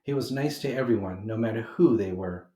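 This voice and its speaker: A man reading a children's picture book aloud with animated, emphatic delivery for young listeners. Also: fairly low pitch, a little fast. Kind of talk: expressive children's read-aloud narration. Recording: roomy homemade recording.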